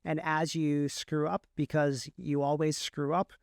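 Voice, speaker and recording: A man's voice: on the high side, flat delivery, measured pace, no echo, clean studio sound. A man speaking casually as an interview guest, explaining his craft and often repeating words mid-sentence. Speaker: guest, author and audiobook narrator.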